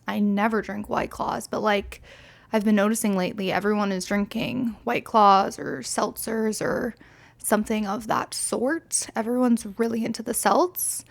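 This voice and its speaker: A woman's voice: fairly high pitch, creaky. A woman in her twenties, slightly tipsy, rambling candidly through a casual solo podcast with lots of fillers and swearing. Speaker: podcast host.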